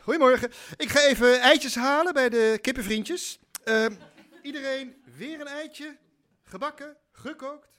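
An actor playing a gentle, eccentric, earnest craft enthusiast, patiently instructing in an audio drama. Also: male voice, very high voice, measured pace. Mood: apologetic. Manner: patient, instructive, childlike wording. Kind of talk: naturalistic Dutch audio drama acting.

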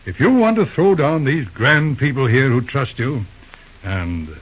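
An actor playing a patient, shrewd older bachelor lawyer uncle in an old-time radio drama, reasoning in an avuncular, hemming and hawing way. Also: male voice, medium pitch, very animated, unhurried. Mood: wry, prodding. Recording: muffled old radio show recording.

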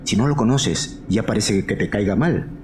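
A man's voice: on the low side, animated, normal speed, lightly acted. An actor playing an easygoing, conciliatory male friend in a live audio drama, casual, with a Castilian Spanish accent. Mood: good-natured. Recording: noticeable background noise, live recording.

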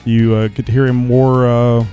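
A man's voice: medium pitch, little pitch movement, normal speed, warm tone, soft background music. A man hosting a casual chat show, rambling with lots of filler words, his voice a little crackly as he recovers from a cold. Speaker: podcast host.

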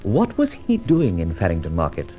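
An actor playing a conceited, teasing gentleman adventurer in a mid-century radio drama, suave and mock-innocent. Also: low voice, wildly swooping pitch, moderate pace, faint music behind. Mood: smug, narrating. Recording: dull old radio recording.

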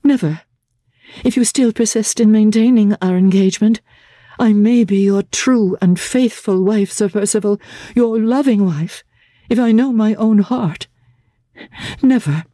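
A woman voicing a young, wretched but resolute gentlewoman in a storybook, earnest and impassioned. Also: mid-range voice, unhurried, no room sound, pitched above the performer's own voice, close to an everyday voice. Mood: anguished, defiant. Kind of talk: dramatic audiobook character reading.

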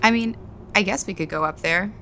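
A woman's voice: medium pitch, fairly quick, pushed and bright, a little hiss or hum. An actress playing a guarded, reserved woman in a naturalistic audio drama, giving understated, noncommittal answers. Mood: reserved, hesitant.

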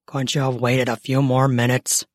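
A woman voicing a gruff, grumpy young man just woken from sleep, groggy and commanding. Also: very deep voice, little pitch movement, moderate pace, no room sound, clean studio sound, pitched below the performer's own voice.

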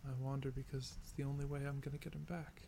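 A man playing a weary, resolute, lovelorn wanderer in an audio drama, narrating their journey with earnest, deliberate phrasing. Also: medium pitch, monotone, gravelly, background noise, natural voice. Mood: solemn, resolute. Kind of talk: audio drama monologue and folk singing. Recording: guitar music behind. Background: acoustic guitar music.

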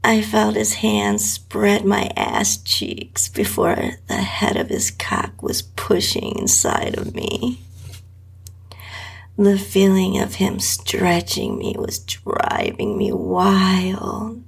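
A woman reads an intimate first-person adult story as its narrator. Her voice is extremely breathy and often close to a whisper, with a gravelly fry in it. She takes it very slowly, with a sultry, drawn-out delivery. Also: medium pitch, flat delivery. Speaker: podcast host and story narrator.

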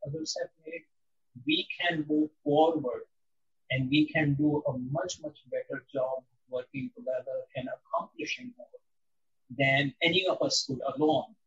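A man in his sixties from India, answering interview questions in a measured, reflective way with frequent pauses and ums. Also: mid-range voice, very animated, creaky, a bit bright, distant mic, muffled recording. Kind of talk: interview conversation.

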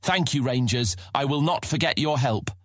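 An actor playing an earnest, guarded young knight on a mission in a dramatized fantasy audiobook. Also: male voice, medium pitch, moderate pace, no room sound, clean studio sound, natural voice.

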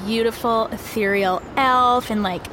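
A woman's voice: a little high, unhurried, background noise, close to an everyday voice. A woman playing a bubbly, self-regarding young social media influencer in an audio drama, gushing in valley-girl style. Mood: bubbly, boastful. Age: young adult. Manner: gushing, filler-heavy valley-girl talk. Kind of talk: reality show confessional in audio drama. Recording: loud music bed behind voice.

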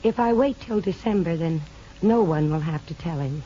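A woman's voice: lowish voice, normal speed, warm tone, slight background noise, close to an everyday voice. An actress in a 1950s radio drama playing an anxious, tender young woman in love, speaking earnestly and hesitantly. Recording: dull 1950s radio broadcast recording.

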